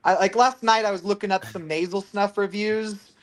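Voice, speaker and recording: A man's voice: sits high, steady tone, moderate pace. A man at home on a group call, talking in a laid-back, profane way and laughing often. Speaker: call participant. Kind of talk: casual group chat.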